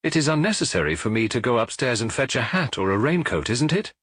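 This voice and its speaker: A man as a croaky, dignified sixty-year-old gentleman. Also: medium pitch, sing-song, quick pace, no echo, studio quality. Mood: guarded dignity. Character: dignified, reserved man of about sixty, under suspicion.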